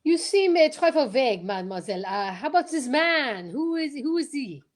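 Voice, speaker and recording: A woman playing a pompous, courteous male detective, formal and deliberate, in old-time radio mystery style. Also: high voice, very animated. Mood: probing. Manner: formal, deliberate, theatrical questioning.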